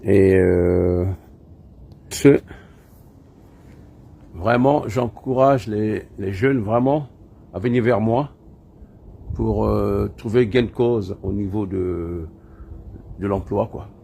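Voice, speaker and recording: A man's voice: fairly low pitch, slow pace, vocal fry, long pauses, mellow. A man, an IT trainer, giving an informal promotional address in hesitant, repetitive phrases. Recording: faint background noise.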